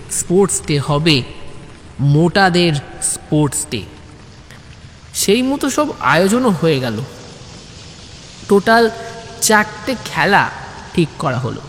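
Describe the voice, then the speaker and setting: A man's voice: a little high, in a large hall, a little hiss or hum. A man narrating a comic audio story in a dramatized storytelling style.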